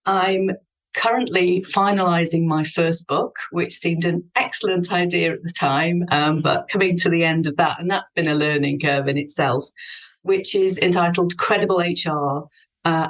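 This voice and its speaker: A woman from North Wales, a coach and HR professional, introducing herself earnestly and deliberately. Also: sits low. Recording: dull lo-fi sound.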